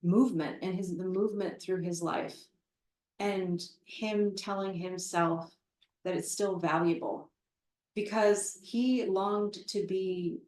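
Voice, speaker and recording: Woman guest at a live book talk, mid-pitched, thoughtful and halting, echoey room. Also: steady tone, a little mellow. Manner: thoughtful and halting, with many fillers and restarts. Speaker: literary translator, interview guest. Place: event room at a literary center. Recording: roomy echo, voice from far off the mic.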